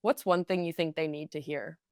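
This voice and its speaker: A woman's voice: low voice, steady tone, moderate pace, a little mellow, no echo, clean studio sound. A woman co-hosting a podcast, asking questions in a conversational style. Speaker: podcast co-host.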